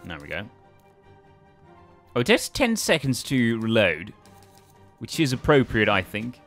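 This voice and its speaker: A man's voice: mid-range voice, huge pitch swings, unhurried, fairly bright tone, faint music behind. A man casually thinking aloud as he commentates a video game. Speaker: let's play host.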